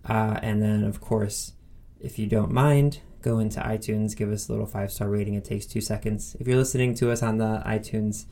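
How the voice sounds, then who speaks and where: Male podcast host, fairly deep voice with noticeable fry, casual and keen. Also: steady tone, a little fast, warm tone. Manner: enthusiastic, informal. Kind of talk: casual podcast intro and conversational interview.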